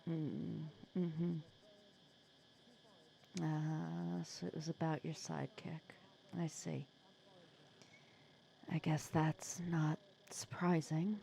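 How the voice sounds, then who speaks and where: A woman playing a wry, protective supervillain nemesis who talks softly and teasingly in a comforting ASMR roleplay audio drama. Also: low voice, creaky, a little hiss or hum, full character voice. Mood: gentle, probing. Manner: soft-spoken, teasing, conversational with hesitations.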